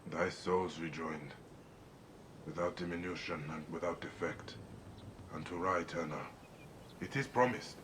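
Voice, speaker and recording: An actor playing a brooding, solemn warrior of ancient Egypt in a video game, speaking gravely and tersely. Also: male voice, sits low, measured pace, pauses often, noisy background. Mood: solemn, reassuring. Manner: grave, terse, pondering aloud. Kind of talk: dramatic video game acting. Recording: dramatic music behind the voice.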